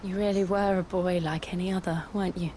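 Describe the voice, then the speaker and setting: A woman playing a gentle, low-voiced young companion. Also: steady tone, fairly quick, a little hiss or hum, close to an everyday voice. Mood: fond, gentle. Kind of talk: dramatic video game cutscene acting.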